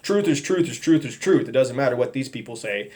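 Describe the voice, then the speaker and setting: A middle-aged man giving a personal testimony, talking in a halting, rambling way with many restarts and fillers. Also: medium pitch, expressive, dark tone. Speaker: testimony speaker.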